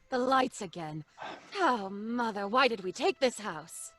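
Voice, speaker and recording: Bossy young English daughter, exasperated, sarcastic, high lively voice, old-time radio drama, music behind. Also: female voice, slow. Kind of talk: 1930s-style radio drama acting.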